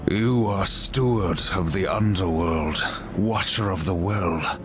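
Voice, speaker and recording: A man's voice: low-pitched, slow. An actor playing a stern, brooding horseman warrior in a video game, terse and commanding. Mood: accusing, resolute. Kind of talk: video game cutscene acting. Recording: music behind the voice.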